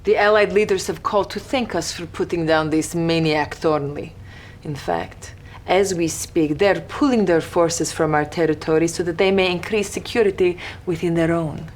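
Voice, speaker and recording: An actress playing a stern, patriotic Soviet intelligence officer giving a grave, resolute briefing in a video game. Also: female voice, fairly low pitch, steady tone, normal speed, natural voice. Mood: solemn.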